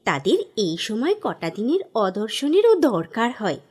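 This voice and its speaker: A woman playing an affectionate, anxious young bride-to-be in a Bengali audio drama, speaking in a theatrical, expressive way. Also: on the high side, unhurried, breathy edge, full character voice. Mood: playful, loving. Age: young adult. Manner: theatrical, expressive, hesitant repetitions when alarmed.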